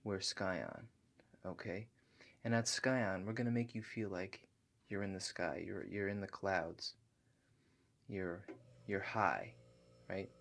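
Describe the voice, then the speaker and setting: A man doing a softly spoken ASMR roleplay as a calm relaxation agent, hushed and halting, with gentle check-in questions. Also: sits low, gravelly. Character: calm, awkward house-call relaxation agent. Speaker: ASMR performer.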